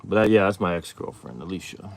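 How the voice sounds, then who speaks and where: Casual low-voiced man in his thirties. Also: lively intonation, quick pace, vocal fry. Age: 30s. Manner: rambling, restarts often, reads viewer questions aloud. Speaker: livestream host. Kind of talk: casual livestream chat.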